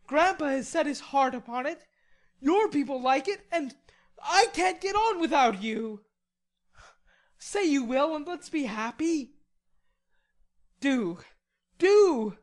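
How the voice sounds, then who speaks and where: A woman's voice: high-pitched, lively intonation, takes it slowly, long pauses, voice pushed up. A woman voicing a lovelorn, hot-headed young man in an audiobook, impassioned, insistent and pleading. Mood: wheedling. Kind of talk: audiobook character voice.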